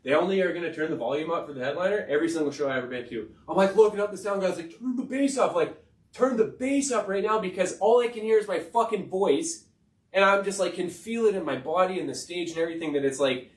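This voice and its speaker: A man with a high-pitched voice, talking in a rambling, profane and emphatic way on a casual podcast. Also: animated, quick pace. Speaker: podcast host and rapper. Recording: roomy sound from far off the mic.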